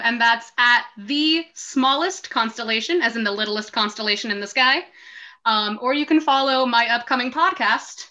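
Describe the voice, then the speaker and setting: A woman with a bright, fairly high voice speaks as a guest. Her style is casual and conversational. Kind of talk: casual chat.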